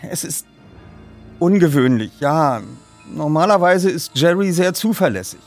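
A man's voice: fairly high pitch, lively intonation, unhurried, faint music behind, pitched above the performer's own voice. A man voicing a guarded, protective mother of about forty in an audiobook reading. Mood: evasive, hesitant. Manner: stern, hesitant, guarded.

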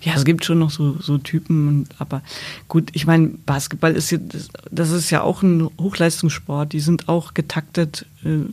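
A woman's voice: low-pitched, a little mellow. A woman sports journalist answering questions as a guest, thoughtful and hesitant, often restarting her sentences. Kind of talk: podcast interview answers.

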